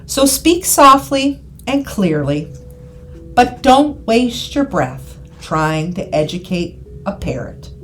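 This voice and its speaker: A woman reading a scripted guided hypnosis session in a soothing, deliberate manner, with repeated phrases and pauses. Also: a little high, sing-song, a bit bright. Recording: voice over background music. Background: background music.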